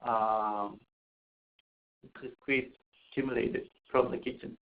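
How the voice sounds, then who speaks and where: Casting: man from Costa Rica, mid-pitched, halting presenter, thin phone-like sound. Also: fairly even intonation. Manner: hesitant, many fillers and restarts. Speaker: asthma program home visitor. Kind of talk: webinar presentation. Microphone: webinar call mic. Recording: tinny phone-like webinar audio.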